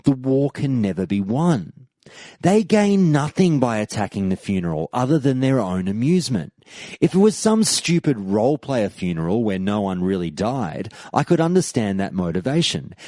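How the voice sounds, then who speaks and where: Male podcast host, mellow tone, lively swooping intonation, emphatic debate-style delivery, scripted monologue. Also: medium pitch, no echo. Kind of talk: scripted argumentative monologue, reading out forum posts.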